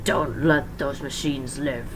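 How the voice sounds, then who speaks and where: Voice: mid-range voice, steady tone, takes it slowly, noisy background, natural voice. A narrator as a distraught, weary male boss. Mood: desperate, shouting.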